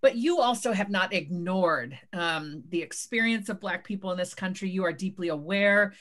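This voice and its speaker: A woman moderating a book conversation, measured and thoughtful with frequent hesitations. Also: medium pitch, expressive. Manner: measured, many um and uh fillers. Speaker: interviewer and moderator.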